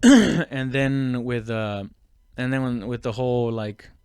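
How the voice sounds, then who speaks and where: Male comic podcaster. Smooth, clean voice, dry close sound, informal halting solo ramble with loose swearing. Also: medium pitch, a little mellow, no room sound. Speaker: stand-up comedian hosting own podcast. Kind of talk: casual rambling solo chat.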